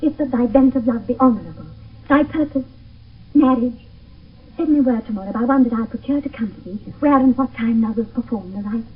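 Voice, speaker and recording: An old, tinny radio play with a distant sound. a lovestruck British maiden, high and breathy, speaking verse with fervent, anxious tenderness. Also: female voice, a little fast. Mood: earnest devotion. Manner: theatrical, fervent verse delivery. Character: Juliet, lovestruck young maiden, ardent and anxious. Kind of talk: 1970s classical radio drama verse acting.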